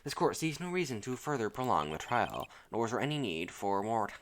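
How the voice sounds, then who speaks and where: A streamer voicing a formal, deliberate old male judge in a courtroom video game. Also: mid-range voice, big pitch swings, background noise, close to an everyday voice. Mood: solemn.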